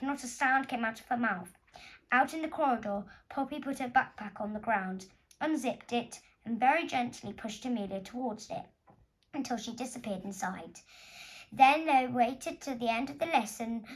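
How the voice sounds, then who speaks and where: A woman with a fairly high voice reads a children's storybook aloud. She narrates expressively, like an author sharing her own tale with young listeners. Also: low-quality recording. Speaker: author reading own book.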